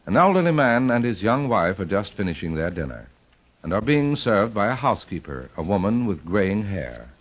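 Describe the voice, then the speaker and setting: A man delivering scripted old-time radio narration in a deliberate, dramatic manner. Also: lowish voice, very animated. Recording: dull, lo-fi old radio recording.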